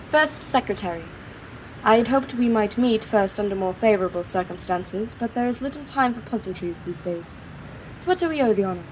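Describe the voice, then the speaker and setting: A woman's voice: mid-range voice, animated, a little fast, slight background noise, natural voice, poor audio. A woman acting in an audio drama as a formal, self-doubting young princess with an earnest manner.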